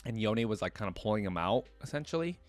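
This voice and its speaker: A man's voice: fairly low pitch, expressive, quick pace, soft background music. A man hosting a reaction video, reading a fantasy story aloud and breaking off for casual comments.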